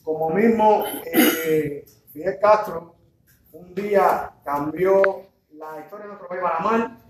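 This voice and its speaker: A young Cuban man with a Cuban Spanish accent, standing in a university lecture hall and giving an animated public comment, gesturing and restarting his sentences as he goes. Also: high-pitched, takes it slowly. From Cuba. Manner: animated, gestures while speaking, restarts and fillers. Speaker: audience member. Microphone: far phone camera. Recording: very echoey hall, distant, noisy.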